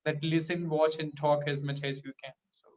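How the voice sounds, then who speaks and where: Flat-voiced man, Hindi native speaker. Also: a little high, normal speed, rough recording. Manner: hesitant, fillers like "you know". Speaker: learner in a tutoring session. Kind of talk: conversational practice.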